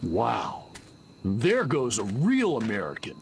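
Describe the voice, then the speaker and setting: Fifties teen rebel: slow, dopey, melodramatic, huge swings in pitch. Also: male voice, huge pitch swings, creaky, slight background noise, exaggerated voice. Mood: melodramatic, awed. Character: dim, angsty 1950s teenage greaser boy. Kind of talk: campy video game voice acting.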